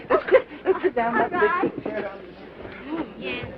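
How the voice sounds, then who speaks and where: A woman's voice: very high voice, very animated, extremely slow, muffled recording, a loud crowd, full character voice. An actress playing a cheerful young lady at a fair who talks lightly, heard from a distance on an old film soundtrack.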